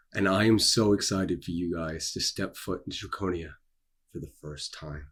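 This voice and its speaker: Young man, husky, somewhat low pitch, theatrical vlogger, emphatic, dramatic pauses. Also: fairly bright tone. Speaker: author and video creator. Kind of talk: casual promotional vlog, dramatic delivery. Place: small dark room indoors.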